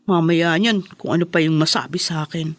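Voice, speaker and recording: A woman's voice: deep voice, brisk, no echo, faint music behind. An actress in a radio drama playing a sensitive young first-time mother who speaks earnestly and plainly. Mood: hurt, candid.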